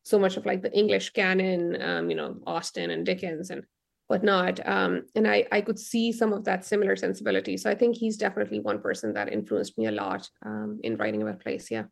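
A young woman, her voice often dropping into a gravelly fry. She answers a radio host's questions thoughtfully, pausing with frequent ums and restarting her sentences as she works out what to say. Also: mid-range voice. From Pakistan. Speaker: novelist, radio guest.

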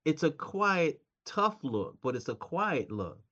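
A man's voice: on the high side, big pitch swings, takes it slowly, fairly bright tone. Laughing male interviewer, dull lo-fi sound. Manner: chatty, laughs a lot, rambling questions. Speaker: interview host. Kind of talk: casual interview conversation.

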